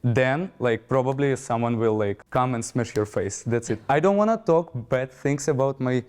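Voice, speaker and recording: A man's voice: mid-range voice, moderate pace, a little mellow, clear, resonant tone. A man from Ukraine, talking reflectively and a little hesitantly in a group discussion. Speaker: panel guest.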